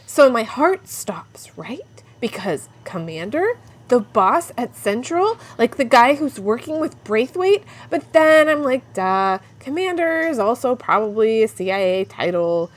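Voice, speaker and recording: A woman's voice: fairly high pitch, very animated, measured pace. A woman playing a frantic, chatty young woman on the run in an audio drama, with a rambling, confiding manner. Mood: nervous, chatty. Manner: rambling, informal, breathless asides to listeners. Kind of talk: first-person audio drama, confiding podcast monologue.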